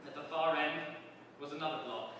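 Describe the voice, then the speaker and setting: Man, high-pitched voice, theatrical storytelling, deliberate dramatic pauses, distant mic, heavy hall reverb. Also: background noise. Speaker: reader performing for a live audience. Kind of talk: theatrical dramatic narration of a comic novel. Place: large reverberant room with an audience.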